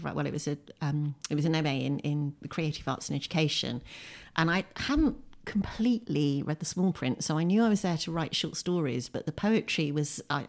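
A middle-aged English woman, a writer, chatting informally and enthusiastically as an interview guest at home. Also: sits low. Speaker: poet and writer, podcast guest. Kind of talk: conversational interview. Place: at home, indoors.

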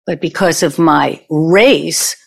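Woman acting a slow, taunting vengeful ex-girlfriend. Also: low-pitched, gravelly, slightly rough, heavily acted. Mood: earnest, bitter. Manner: deliberate, taunting, storytelling delivery. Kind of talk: audio play acting.

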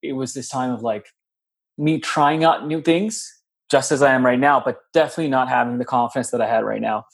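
A man's voice: medium pitch, vocal fry. A man from Pakistan, a podcast guest, talking about his life in a friendly, reflective way over a video call. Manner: friendly, reflective, drawn-out phrasing. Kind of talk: casual podcast interview.

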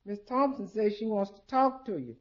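A woman's voice: medium pitch, expressive, normal speed, lightly acted. A woman acting a polite, forthright household maid in a 1950s radio sitcom. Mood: matter-of-fact. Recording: dull, noisy old radio recording.

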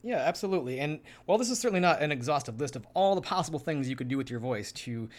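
A man's voice: a little high, lively intonation, rapid-fire. A man co-hosting a show, offering practical tips in a casual, informal and reassuring way. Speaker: show co-host giving role-playing tips. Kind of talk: casual instructional chat.

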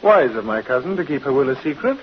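A man's voice: medium pitch, big pitch swings, fast, lightly acted. A man playing a suspicious, insinuating relative in vintage radio drama, pointed and sardonic. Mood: suspicious, cutting. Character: suspicious, insinuating male cousin of an heiress. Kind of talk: 1950s radio drama acting. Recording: muffled old radio recording with hiss.

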